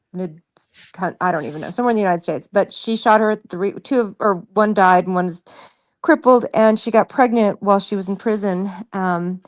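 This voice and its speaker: Woman with mid-pitched, casual conversational voice. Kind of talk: casual chat.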